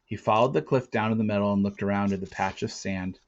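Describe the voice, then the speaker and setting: A man reading a storybook aloud as a dramatic narrator, with deliberate, theatrical phrasing and a casual touch. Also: fairly low pitch, dull audio. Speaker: storybook reader.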